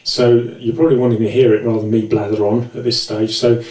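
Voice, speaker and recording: A man's voice: mid-range voice, flat delivery, quick pace, a little mellow. A man presenting an informal, explanatory music gear tutorial with hesitant, self-deprecating asides. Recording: roomy, echoey, distant mic.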